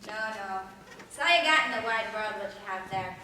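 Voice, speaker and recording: A woman's voice: mid-range voice, expressive, a little fast. A woman acting a mocking, hard-bitten asylum matron with folksy, scornful delivery in a stage play. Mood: scornful, blunt. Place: auditorium stage, recorded from far off. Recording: loud hiss, echoey hall, far off.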